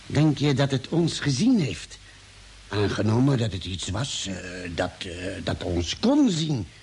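An actor playing a cautious, pondering doctor on a space expedition in a radio drama. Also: male voice, lowish voice, very animated, a little slow, a little mellow. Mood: wary, pondering. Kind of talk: 1950s radio drama acting. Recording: old radio recording, faint hiss.

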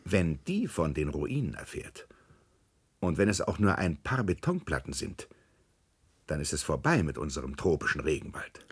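An actor in a German radio play, playing a boastful, scheming animal park director, effusive and emphatic, with hesitations and repeated words. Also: male voice, very deep voice, sing-song, normal speed, slightly rough, full character voice. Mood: secretive.

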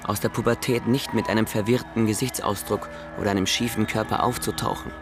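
An actor playing a knowing chorus narrator in a radio drama, reciting in a clipped way. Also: male voice, fairly low pitch, steady tone, brisk, over music, close to an everyday voice. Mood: wry.

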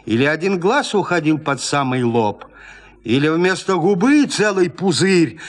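A man narrating a literary tale in an expressive, dramatic storytelling manner, as in an audio play. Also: fairly high pitch, in a large hall.

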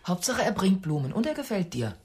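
Actress playing practical bossy woman friend, brisk, critical, very animated intonation, average female pitch, radio drama. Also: lightly acted.

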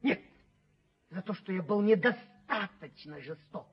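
A very husky, raspy male rent collector in a muffled old radio drama. He is servile yet bitter, pleading his case with theatrical emphasis and aggrieved self-justification. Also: high voice, animated, measured pace, heavily acted. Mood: tearful indignation. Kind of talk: theatrical radio play acting. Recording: muffled 1970s radio play recording.

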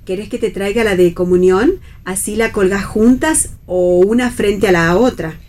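A woman's voice: medium pitch, normal speed, a little mellow, close to an everyday voice. An actress playing a fussy, anxious middle-aged mother in a radio play, with an Argentine accent and a hesitant, solicitous manner. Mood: fussing, insistent. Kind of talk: radio theatre play reading.

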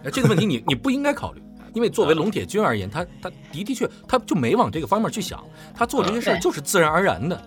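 A man's voice: sits high, sing-song, racing, hardly any pauses, faint music behind. A man giving emphatic, casual explanations as a panelist discussing characters in an audio drama. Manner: emphatic, storytelling with repeated phrases.

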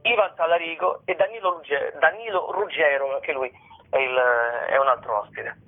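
A man with a fairly high voice comes through a narrow, telephone-like radio sound. He talks informally as a guest performer, circling back over his words and often adding little tag questions. His delivery is halting and rambling. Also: faint music behind. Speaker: guest, comedian and stage performer presenting his shows. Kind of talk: informal radio interview talk.

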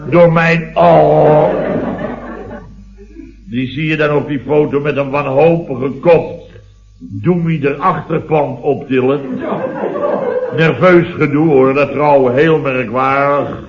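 An actor playing a flustered, long-winded married man rambling emphatically in a 1970s radio comedy sketch. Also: a little high. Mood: self-important reminiscing. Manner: rambling, emphatic, peppered with tag questions. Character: married man, flustered long-winded comic storyteller complaining about wife. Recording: dull old radio recording, roomy, audience laughter.

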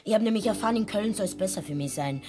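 A woman's voice: mid-range voice, animated, quick pace, mellow, close to an everyday voice. An actress playing a chatty, over-familiar streetwalker down on her luck in a radio drama, rambling on. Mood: chatty, plaintive.

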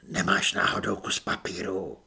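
A hoarse stranger hidden in the dark talks slowly and patiently, now and then sinking to a whisper. His low voice carries a gravelly rasp, put on by a male audiobook reader. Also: steady tone, full character voice. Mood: wry. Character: hoarse mysterious man hiding in the dark.

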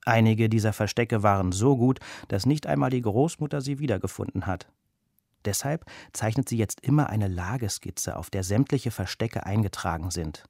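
A man's voice: on the low side, moderate pace, vocal fry, a little mellow, no room sound, studio quality. A man narrating a children's story in a scripted storybook style.

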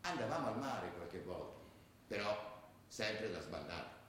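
A man's voice: fairly low pitch, sing-song, unhurried, a bit bright. An actor playing a streetwise male ultra who looks back on a rebellious youth, in colloquial radio drama storytelling. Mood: disillusioned. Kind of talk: theatrical radio drama monologue. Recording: very echoey, distant, faint noise.

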